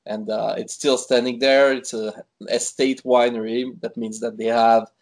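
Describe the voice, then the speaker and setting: Swiss-born man, guest in a casual interview, talky, halting with fillers. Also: medium pitch, flat delivery. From Switzerland. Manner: chatty, many fillers and restarts. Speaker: wine expert guest. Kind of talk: conversational interview.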